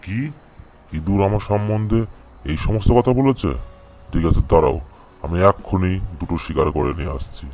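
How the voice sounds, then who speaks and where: A man voicing a gruff, gullible, quick-tempered male tiger in a cartoon animal fable, with a heavy voice. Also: sits low, darkish tone, slight background noise. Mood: indignant.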